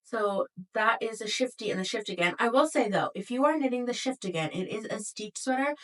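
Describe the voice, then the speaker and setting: A woman's voice: mid-range voice, a bit bright, distant mic, low-quality recording. A woman vlogging casually to camera, her voice a little nasal from a cold, chatty with frequent fillers. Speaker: knitting vlogger.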